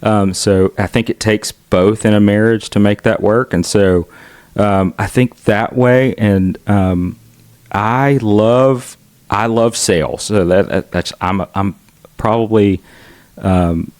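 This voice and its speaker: A man's voice: lowish voice, fairly even intonation, takes it slowly, a little mellow, clear, resonant tone, no echo. A middle-aged man with a Southern US accent, telling stories in an outgoing, chatty way as a podcast guest. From United States. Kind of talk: casual conversational storytelling.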